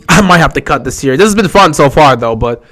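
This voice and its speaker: A young man with a sore, hoarse voice, giving casual live commentary on a football game he is streaming, resigned and frustrated. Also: a little high, lively intonation, fairly quick, a bit bright. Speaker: livestream host.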